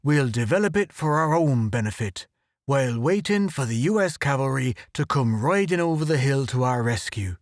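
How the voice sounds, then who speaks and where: A man's voice: medium pitch, expressive, normal speed, no echo, studio quality, natural voice. A man doing a bluff Irish brawler. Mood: teasing. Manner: bluff, swaggering, jokey. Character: big burly brash Irish adventurer, man.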